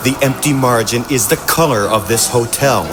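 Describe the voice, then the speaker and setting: A man giving a measured, deliberate spoken-word poetry reading. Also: mid-range voice. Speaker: poetry reader. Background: loud music soundscape.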